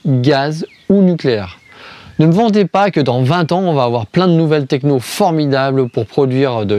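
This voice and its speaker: A man's voice: mid-range voice, darkish tone. A man from France hosting a scripted explainer video, arguing step by step with rhetorical questions and ironic asides. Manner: poses questions then answers them. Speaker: video host.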